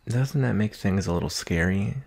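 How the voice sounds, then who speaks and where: A man acting a doting, analytical boyfriend in an intimate ASMR roleplay, gentle and musing with long pauses. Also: on the low side, animated, vocal fry. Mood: soft, curious. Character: doting boyfriend, soft-spoken, teasing, analytical former forensics student.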